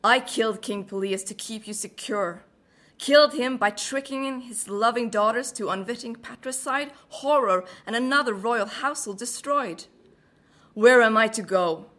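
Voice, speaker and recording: A woman's voice: on the high side, normal speed, fairly bright tone, lightly acted. Vengeful betrayed queen, bitter and sarcastic, Norwegian accent, a woman reciting. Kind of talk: dramatic monologue recital.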